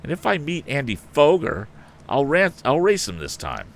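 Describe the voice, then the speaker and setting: Man voicing an excitable young storybook hero, lively swoops. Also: a little high, normal speed, gravelly, pitched above the performer's own voice, full character voice. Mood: eager. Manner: exclamatory, eager. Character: energetic, excitable young male inventor, boyish hero. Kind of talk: audiobook character acting.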